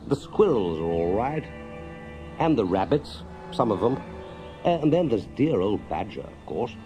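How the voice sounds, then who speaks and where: A man acts out a brisk, friendly water rat from a children's storybook. He is chatty and exclamatory, with lively swings of pitch that lift above a narrator's tone, and music can be heard. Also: muffled recording. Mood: matter-of-fact. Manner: hearty, chatty, exclamatory English gentleman talk. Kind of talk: children's storybook character reading.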